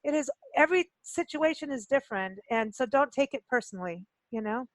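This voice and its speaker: High-voiced chatty woman radio host. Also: animated, a little fast, no echo, low-quality recording. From Kenya. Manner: chatty, informal, joking. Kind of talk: casual conversational interview.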